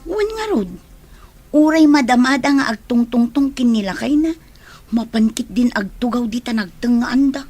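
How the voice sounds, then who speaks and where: Woman doing a nosy whiny village lady, high voice, ilocano accent, radio comedy, always complaining. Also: moderate pace, darkish tone, soft background music, lightly acted. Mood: gossipy.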